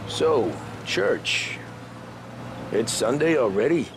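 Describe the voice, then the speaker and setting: An actor playing a weary, dryly polite 1930s cab driver in a game cutscene, speaking in a laconic way. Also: male voice, mid-range voice, expressive, takes it slowly, gravelly, background noise. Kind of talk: naturalistic game cutscene acting.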